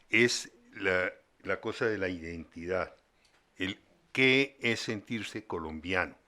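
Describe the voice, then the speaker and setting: Older male interviewee, fairly low and sharp-edged, reflective, speaking in measured fragments. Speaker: interviewee, son of a playwright.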